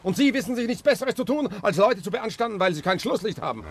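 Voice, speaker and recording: A man playing a brisk, quick-witted young journalist turned amateur detective in a 1960s radio drama, forthright and urgent. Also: sits high, animated, slightly rough.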